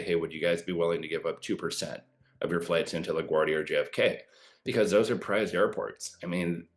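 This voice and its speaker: A middle-aged man, a former pilot, explaining airport safety in a measured way with pauses and fillers. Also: low voice. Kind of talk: explanatory news interview commentary.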